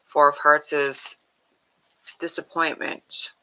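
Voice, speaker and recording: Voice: medium pitch, little pitch movement, takes it slowly, bright, forceful tone, rough recording. A person hosting a live stream as a tarot reader, talking casually and bluntly while giving readings. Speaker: psychic tarot reader, livestream host.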